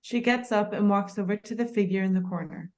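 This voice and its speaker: A woman's voice: mid-range voice, a little fast, darkish tone. A woman reading out stage directions as scripted narration at a table read.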